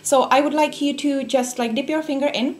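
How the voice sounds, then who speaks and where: A woman's voice: sits high, steady tone, fairly quick. A young woman from the Czech Republic with a Czech accent, giving a friendly, casual talk to a small group with plenty of fillers and restarts. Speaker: speaker giving a workshop talk. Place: room with a group of listeners.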